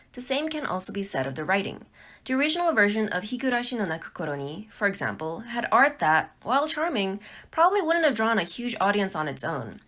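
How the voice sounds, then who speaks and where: A woman presents a scripted tutorial in an explanatory way. Her medium-pitched voice swings up and down a lot as she moves through measured phrases. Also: a bit bright. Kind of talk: scripted narration for an instructional video.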